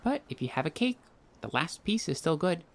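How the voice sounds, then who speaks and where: Man as feisty young girl, wry, blunt, eager, swooping pitch, audiobook character. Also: big pitch swings, fairly quick, vocal fry.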